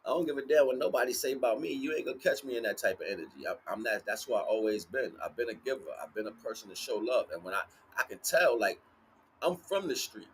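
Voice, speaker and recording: Male spiritual livestream speaker, mid-pitched, slangy and profane, emphatic with repetition, slightly noisy recording. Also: expressive, fast. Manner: casual street slang with frequent swearing; emphatic, repeats phrases. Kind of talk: rambling motivational spiritual talk.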